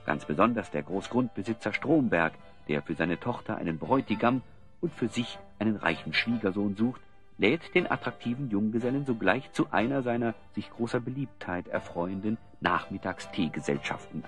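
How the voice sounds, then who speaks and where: A man narrating a radio play in a wry, measured storytelling style with a husky voice. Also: fairly low pitch.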